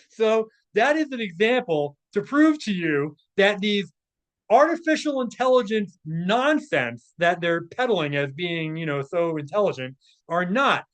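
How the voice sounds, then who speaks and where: A man's voice: high voice, sing-song, measured pace, fairly bright tone. A man giving casual, scornful spoken commentary as he reads through a text, with sarcastic asides. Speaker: commentator, presenter.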